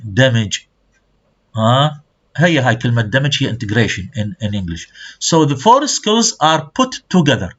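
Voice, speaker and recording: Guy, lecturing in a college class, lots of pitch movement, muffled lo-fi sound. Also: very animated, fairly quick, fairly bright tone, husky. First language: Arabic. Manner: explains terms and checks with students. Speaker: university lecturer. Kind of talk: lecture, switching between English and Arabic. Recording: dull lo-fi recording.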